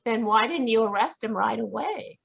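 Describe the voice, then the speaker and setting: A woman's voice: on the high side, expressive, normal speed, creaky, breathy edge, full character voice. A woman voicing a skeptical young woman in an old-time radio play, tart and sarcastic. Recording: dull lo-fi video call audio.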